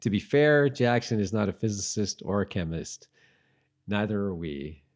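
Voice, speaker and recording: A man co-hosting a podcast in casual chat, talking in a halting way and repeating himself as he thinks aloud. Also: on the low side, lively intonation. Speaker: podcast co-host.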